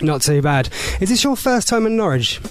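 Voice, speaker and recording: A man's voice: sits high, very animated, normal speed. A man with a broad Norfolk accent presenting on the radio as host and interviewing guests, with music behind him. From Norfolk, England.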